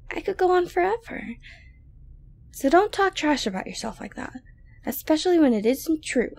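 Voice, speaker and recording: A woman playing an obsessive, sweet-talking yandere girlfriend in an intimate ASMR audio roleplay, coaxing softly with breaths and near-whispers. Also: high voice, full character voice. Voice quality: soft, breathy, often dropping to a whisper. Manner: coaxing, cooing, falsely gentle, hesitant.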